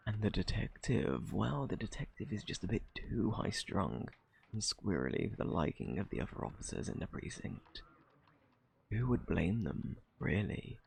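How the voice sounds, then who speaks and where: A man with a British accent playing a smug, flirty, cunning thief in an ASMR roleplay, with a husky, gravelly voice and a teasing, mock-innocent drawl. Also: sits low, exaggerated voice.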